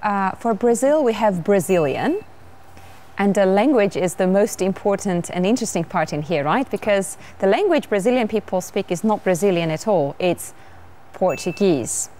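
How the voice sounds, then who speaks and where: A woman's voice: medium pitch, animated, moderate pace, warm tone. A young woman from Georgia with a Georgian accent, co-hosting a televised English lesson in a studio, cheerful and laughing often. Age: young adult. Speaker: English teacher co-host. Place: TV studio set.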